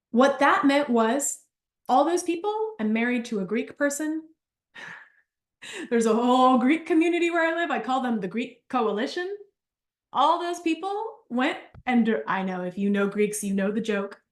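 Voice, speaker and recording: A lively woman leads a business workshop, spinning chatty stories and throwing in asides. Her voice sits a little high, and the room gives it a noticeable echo. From Argentina. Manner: animated, chatty, storytelling with asides. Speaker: business coach. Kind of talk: live workshop talk.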